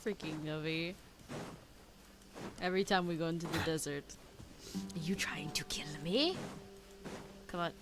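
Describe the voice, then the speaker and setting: A woman's voice: low voice, measured pace, long pauses. A woman streaming a video game with casual commentary, talking to herself and to the game as she plays. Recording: game music behind the voice. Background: loud game music.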